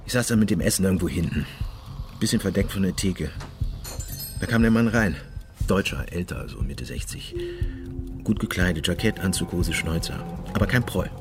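An actor playing a defiant, cynical police detective in a naturalistic radio drama, speaking colloquially and combatively. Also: male voice, sits low, animated, moderate pace, pauses often, over music. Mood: sardonic, recounting.

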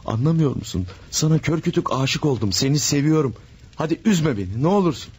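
A man's voice: on the high side, expressive, a little fast, a little mellow, slightly rough. An actor playing an infatuated married man in a radio drama, pleading and overwrought in his passion. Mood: impassioned. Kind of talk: 1970s-style radio drama acting.

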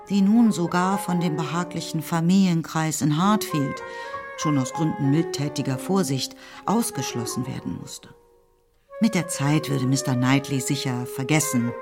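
A woman narrating a literary radio play, telling the story in a measured, articulate way. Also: sits low. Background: music.

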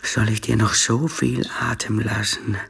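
An actor playing a drunken, boastful military bully in a German radio drama, raspy and threatening. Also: male voice, lowish voice, flat delivery, measured pace, a bit bright, full character voice. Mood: taunting menace. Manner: swaggering, threatening.

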